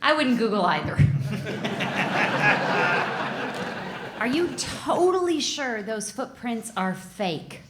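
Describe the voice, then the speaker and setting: A woman's voice: medium pitch, expressive, very slow, long pauses, very noisy. A woman reading a dry, wry character at a live table read. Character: wry woman with a shy secret.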